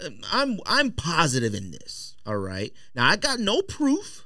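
A man's voice: on the high side, wildly swooping pitch, unhurried, bright, forceful tone, dry recording, clean studio sound. A man talking in slangy, opinionated casual banter as a podcast host.

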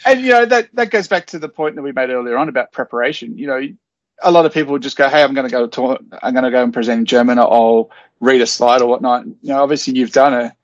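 A man's voice: medium pitch, fast talker, no room sound. A man hosting a podcast interview, asking short follow-up questions in a casual, friendly way. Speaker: podcast host. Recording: dry, slightly muffled podcast audio.

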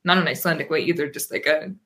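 A woman's voice: deep voice, racing, sharp tone. A woman in her thirties from Pennsylvania, a guest in a casual interview, talking thoughtfully with many ums and restarts. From Pennsylvania, USA.

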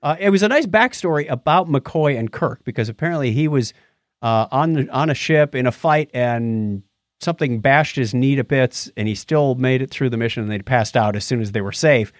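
A man's voice: medium pitch, normal speed, no echo. Casual male podcast co-host, mid-range voice, very dry close recording. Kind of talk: casual conversational chat and commentary.

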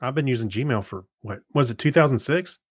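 A man co-hosting a podcast in casual chat, talking in a hesitant, low-key, meandering way. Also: animated, brisk, husky, no echo, clean studio sound. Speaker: podcast co-host.

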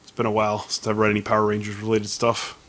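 Easygoing podcast host man, bright voice. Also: on the low side, completely flat delivery, quick pace. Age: 30s. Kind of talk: casual podcast chat.

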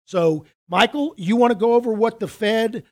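A man's voice: high-pitched, normal speed. A man hosting a casual finance podcast, talking loosely and bluntly as he sets up the topic and hands over to his co-host.

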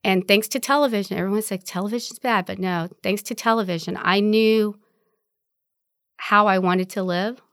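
A woman's voice: mid-range voice, moderate pace, a bit bright, no echo, clean studio sound. A woman in her forties from Vietnam, candidly telling her life story in an interview and laughing easily. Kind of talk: oral history interview.

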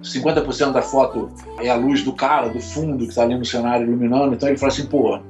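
Animated Carioca man telling stories. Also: mid-range voice, rapid-fire, reverberant, highs cut off, soft background music. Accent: Carioca Portuguese. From Rio de Janeiro, Brazil. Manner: animated storyteller, many fillers and repeated words. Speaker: guest, lighting photographer. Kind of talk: casual interview chat.

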